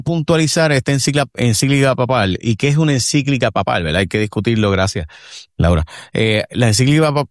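A man with a mid-range voice hosts a talk show in Spanish. He sets up each topic with halting restarts and fillers. The recording is dry and close. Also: mid-range voice, no echo. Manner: lays out topics, hesitant restarts. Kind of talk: casual talk-show hosting.

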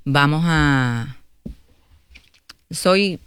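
A woman's voice: sits low, very slow, fairly bright tone, clean tone, no echo. A woman in her fifties with a Puerto Rican accent, a candidate speaking earnestly in a radio interview, often adding a questioning filler. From Guaynabo, Puerto Rico. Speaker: political candidate and interview guest.

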